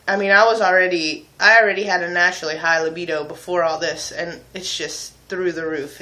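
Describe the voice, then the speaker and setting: Young man, video blogger. Bright, forceful tone, candid casual chat, pokes fun at himself. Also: high-pitched, low-quality recording. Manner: candid, informal, self-mocking. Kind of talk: casual confessional chat to viewers.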